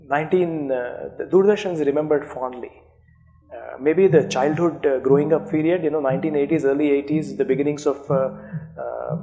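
A man's voice: mid-range voice, vocal fry, darkish tone, rough recording. Indian man, thoughtful academic speech. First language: Urdu. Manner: thoughtful, many fillers and restarts. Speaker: author being interviewed. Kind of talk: academic conversation. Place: seminar room, indoors. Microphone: handheld microphone.

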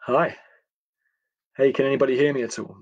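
English male coach, gravelly edge, chatty and hesitant, live to webcam in a dry small room. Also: mid-range voice, fairly even intonation, no echo, muffled recording. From England. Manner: friendly, chatty, halting with frequent pauses. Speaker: football coach giving a livestream talk. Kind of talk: informal live talk to camera. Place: indoors, small attic room.

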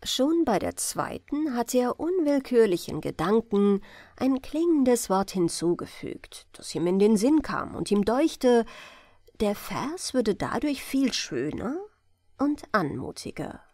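A woman reads a fairy tale for bedtime, taking her time over every phrase. Her fairly high voice rises and falls with a lively, expressive lilt. The sound is close and dry. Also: no echo. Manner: calm, gentle, unhurried storytelling. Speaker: audiobook reader.

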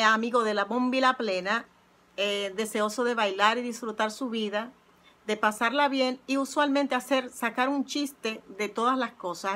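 A middle-aged woman hosting her own channel, talking earnestly and reflectively from her couch at home into a close phone camera, often pausing with "eh". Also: fairly high pitch, fairly bright tone. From not Puerto Rico, living there twenty years. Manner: earnest, reflective, frequent "eh" fillers. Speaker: channel host. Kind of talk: casual heartfelt vlog monologue. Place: living room at home, seated on a couch. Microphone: phone or webcam close up.

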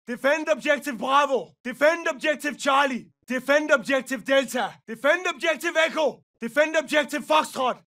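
An actor playing a fierce Norse berserker soldier in a military shooter game, barking clipped, commanding battlefield callouts. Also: male voice, very high-pitched, gravelly, bright, forceful tone, far from the mic, heavily acted. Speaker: operator calling out battlefield callouts.

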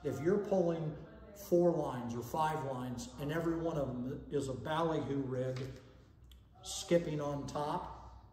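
An older man explains fishing tactics in a folksy, instructive manner. His voice sounds mellow. The recording is roomy, with a long reverb tail from the indoor space. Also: a little high, a little slow. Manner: folksy, explanatory, checks in with "okay?". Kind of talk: instructional talk to camera. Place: indoor room with fireplace and banner. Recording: echoey room, long reverb.

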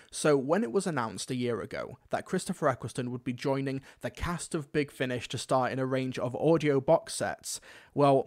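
A young man presenting a scripted review to camera in an emphatic, conversational way, close to a desk microphone. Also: medium pitch. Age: young adult. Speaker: video reviewer.

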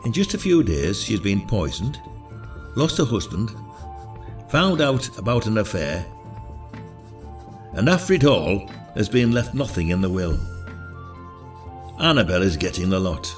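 Older male sleuth, played by an actor, low lively voice, gruff yet kind, for a village murder podcast. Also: measured pace, pauses often, soft background music. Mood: wry, reflective. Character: shrewd retired village detective, gruff but kindly. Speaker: lead actor in a podcast drama. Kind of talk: audio drama acting and narration.

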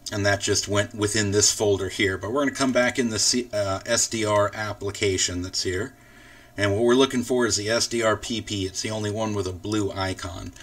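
A man with a fairly low voice talks through a software tutorial. He explains each step in an informal, casual way. He sounds set back from the microphone. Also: recorded from a distance. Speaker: tutorial host. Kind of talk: casual screen-recorded software walkthrough.

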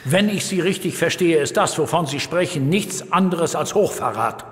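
A man's voice: fairly high pitch, lively intonation, normal speed, natural voice. An actor playing a formal, indignant male police superior in an audio drama. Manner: formal, stern. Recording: long hall reverb.

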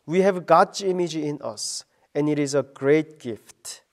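A middle-aged man delivers a short radio devotion in the patient, earnest manner of a pastor. His voice sits fairly high for a man. He speaks slowly and carefully, like a sermon.

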